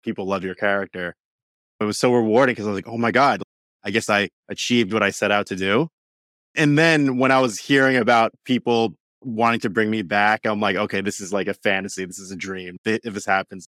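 A young man talks in a low voice. He is chatty and upbeat, and he drops in plenty of "like"s. The sound is dry and close. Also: steady tone, fairly bright tone, no room sound. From New York, USA. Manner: upbeat, chatty, quick with fillers like "like". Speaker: actor guest. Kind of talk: casual interview chat.

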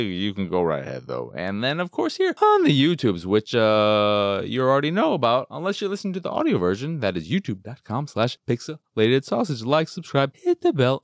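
Animated man ranting casually. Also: on the low side, no room sound, studio quality. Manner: self-deprecating, exasperated, animated delivery. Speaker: host of a video game preview show. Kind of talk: casual, profane, rambling opinion commentary.

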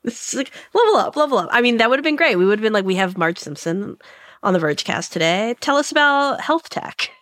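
A woman hosting a tech podcast, playful and enthusiastic, tossing in quick jokes. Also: on the high side, expressive, a bit bright, no echo, studio quality. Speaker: podcast host.

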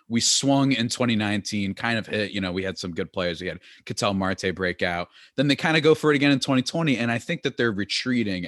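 A man co-hosting a podcast in casual chat, animated and talkative, often laughing. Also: fairly low pitch, dry recording, studio quality. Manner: animated, chatty, laughs often, many "like" fillers. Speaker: podcast co-host.

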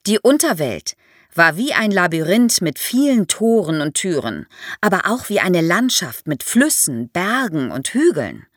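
Female expert guest for an explainer podcast, lively intonation, vivid storytelling manner, very dry studio sound. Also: fairly low pitch, unhurried, dry recording. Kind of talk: explanatory podcast interview for young listeners.

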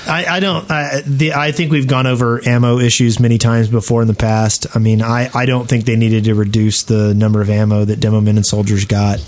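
A man's voice: medium pitch, level tone, fairly quick, hardly any pauses, darkish tone. A man co-hosting a casual gaming podcast, dry and teasing as he chats. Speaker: podcast co-host. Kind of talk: casual joking chat about a video game.

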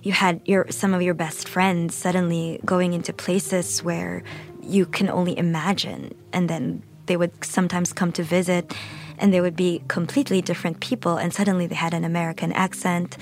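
Low, creaky-voiced woman, thoughtful. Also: steady tone, normal speed, faint music behind. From Philippines (Manila). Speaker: interview guest, photojournalist. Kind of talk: reflective conversational interview.

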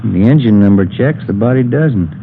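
Male American police partner, lowish voice, casual and laconic, muffled vintage radio. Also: lively intonation, normal speed. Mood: casual, observant. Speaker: supporting actor in a police radio drama. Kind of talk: 1950s radio acting, understated dialogue. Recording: muffled, noisy old radio recording.

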